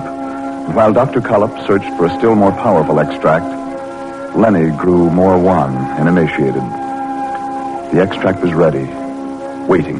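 Dramatic radio narrator, low-pitched, solemn, measured, old broadcast recording, prominent music. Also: male voice, low-quality recording. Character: solemn male narrator of a medical history drama.

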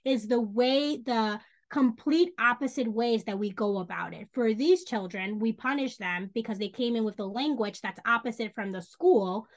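A fairly high-pitched woman speaks as a podcast guest, a social worker from the Dominican Republic and New York City. She talks with animated emphasis, piling up asides and rhetorical questions as she works through her thoughts. Her delivery is conversational and insistent. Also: normal speed, hardly any pauses. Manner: animated, emphatic, thinks aloud with many asides.

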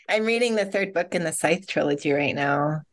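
A woman's voice: low-pitched, normal speed. A woman author, a guest on a podcast, talking in a chatty, giggly, easygoing way. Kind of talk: casual interview.

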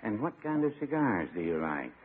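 An actor playing a mild older small-town shopkeeper, hesitant, folksy and deadpan, in 1940s radio comedy style on an old broadcast. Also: male voice, lowish voice, a little fast, phone-quality sound, a little hiss or hum. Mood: obliging.

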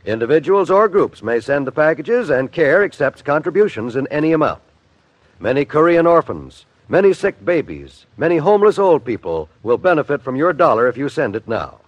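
Male 1950s radio announcer: slightly high, lively inflection, formal scripted appeal, dull vintage sound. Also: moderate pace, no echo.

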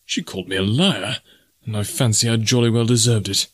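A man voicing a courteous, weary young English gentleman in an adventure story, formal and earnest in his speech. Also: lowish voice, fairly quick, slightly rough, no echo. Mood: rueful.

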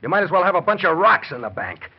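A man's voice: sits high, very animated, fast talker, slightly rough, heavily acted. A man playing a desperate, scheming husband who tells of his crime in slangy, hard-boiled 1940s radio drama style. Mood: angry, bitter. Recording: muffled, noisy 1940s radio recording.

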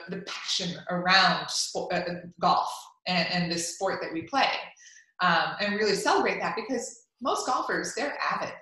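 A woman's voice: lowish voice, sharp tone. A woman whose first language is Spanish, talking in an upbeat, chatty way with many fillers and restarts in an interview. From Cuban family. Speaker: golf media executive. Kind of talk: interview answers. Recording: echoey room.

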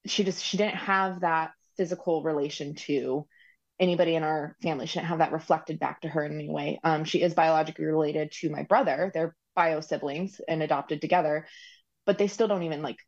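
A woman's voice: low-pitched, steady tone, brisk, muffled recording. A woman guest on a podcast interview, talking in a rambling way with frequent ums and likes. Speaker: guest, recipient parent. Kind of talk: conversational interview.

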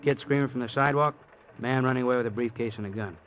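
Male actor as dry, needling police sidekick, quick clipped patter, vintage radio drama with tinny sound. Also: medium pitch, close to an everyday voice. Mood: breezy, dry. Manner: clipped, casual, drily needling. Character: breezy, sardonic, talkative police detective sidekick. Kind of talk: 1950s radio crime drama acting. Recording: old radio, phone-like band, faint noise.

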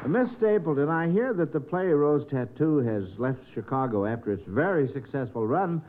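A man's voice: a little high, animated, normal speed, phone-quality sound, background noise. A man hosting a quiz show in a 1950s radio style, heard on an old recording.

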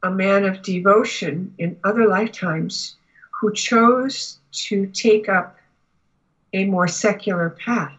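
A woman giving a spiritual reading to a client in halting, deliberate phrases with long pauses. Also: mid-range voice, slightly rough, lo-fi sound. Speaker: Akashic Records reader, guest.

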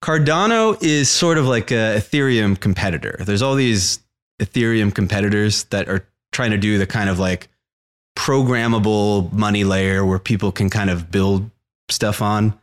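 Young male podcast co-host, lowish voice, laid-back explainer tone. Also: normal speed. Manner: explanatory, frequent "like" and "right?" check-ins. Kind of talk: casual podcast chat.

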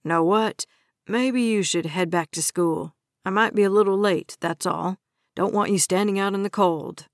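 Fields: woman lowering her voice as a smooth-talking grown man. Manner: cocky, soothing. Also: moderate pace, no echo, studio quality, natural voice. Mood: casual. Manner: falsely sympathetic, soothing.

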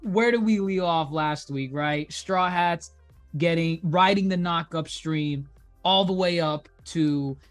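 A podcast co-host in casual chat, talkative and quick to laugh, restarting sentences as thoughts pile up. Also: mid-range voice, soft background music. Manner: chatty, laughs often, trails into restarts.

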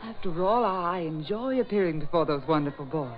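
A woman's voice: lowish voice, animated, fairly quick, close to an everyday voice. An actress in a 1940s radio drama playing a glamorous, theatrical woman of fame with an effusive manner, on a dull old recording. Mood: gushing, flattered. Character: gushing, glamorous famous actress who secretly spies.

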